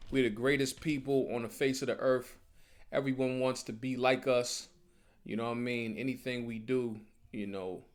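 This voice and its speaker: A man teaching a religious class as an informal sermon, speaking repetitively with frequent rhetorical check-ins. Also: medium pitch.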